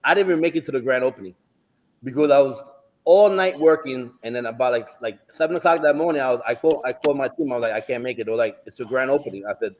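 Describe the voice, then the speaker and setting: A middle-aged bodybuilding coach who stutters, talking emphatically in casual chat and swearing often. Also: male voice, mid-range voice, fast, darkish tone, rough recording.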